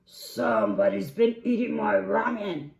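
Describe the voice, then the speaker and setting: A woman voicing a big, commanding papa bear in a storybook tale, loud, emphatic and indignant. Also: mid-range voice, expressive, measured pace, vocal fry, echoey room, a crowd in the background, pitched above the performer's own voice.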